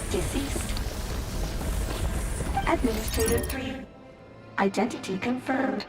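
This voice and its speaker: A woman voicing an earnest, composed robot girl in a video game, with measured, formal, precise speech. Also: on the high side. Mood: flat, mechanical.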